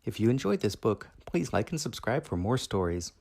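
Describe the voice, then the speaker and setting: A gentle storyteller hosting a picture book read-aloud for children. The voice swings expressively up and down in pitch, with careful, friendly enunciation aimed at young listeners. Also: sing-song, moderate pace, vocal fry. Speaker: storyteller and channel host.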